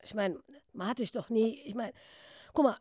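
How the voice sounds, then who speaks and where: An actress playing a concerned, disapproving student friend who speaks haltingly in a German radio play. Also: female voice, on the high side, warm tone, no room sound, studio quality, natural voice. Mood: flustered. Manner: halting, self-interrupting.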